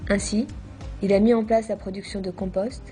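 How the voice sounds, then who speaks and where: A woman reading a scripted documentary narration in a measured, formal manner. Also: mid-range voice, fairly even intonation, dark tone, soft background music.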